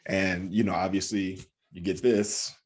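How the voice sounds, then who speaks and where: A man's voice: deep voice, little pitch movement, a little slow, slightly rough. A man hosting a podcast and telling comic stories casually, repeating himself for emphasis. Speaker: podcast host and comedian. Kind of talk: casual chat, comic storytelling.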